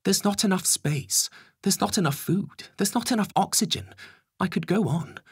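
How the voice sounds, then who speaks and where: A man's voice: sits high, moderate pace, husky, no echo, studio quality, voice pushed up, heavily acted. A man voicing a cold, pragmatic Home Secretary who argues in an insistent, calculating way in a dramatic story. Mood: grim. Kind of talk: acted dialogue in a dramatic story reading.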